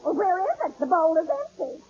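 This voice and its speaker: A woman's voice: very high-pitched, very animated, fairly quick. A woman playing a flustered, good-natured household maid in a 1940s radio sitcom. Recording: dull old radio recording, faint noise.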